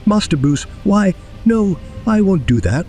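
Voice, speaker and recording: A man acts a devoted older butler in an audio drama. His voice is mellow and swoops expressively, yet stays formal and deferential, with faint music behind. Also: high voice, very animated, measured pace. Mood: worried, pleading. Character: devoted, proper, anxious older male butler.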